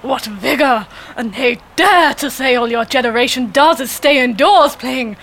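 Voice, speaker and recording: A jolly, pompous older British gentleman in a sketch comedy monologue. The voice is high and very forceful, with slow, theatrical bluster and posh exclamations. He is blissfully oblivious and full of old-school sportsmaster zeal. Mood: jovial bluster.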